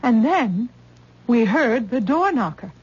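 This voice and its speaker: A woman's voice: fairly high pitch, sing-song, takes it slowly, slightly rough, heavily acted. An actress playing an anxious but firm mother-in-law who nurses a sick man in an old-time radio drama. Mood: shaken recounting. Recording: muffled old radio recording.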